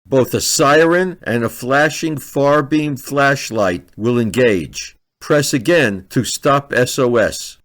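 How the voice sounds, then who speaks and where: A man narrates a product review at a markedly slow pace. His pitch sits in the middle range, and he goes through each point methodically, like a scripted set of instructions. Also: a bit bright. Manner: methodical, step-by-step delivery.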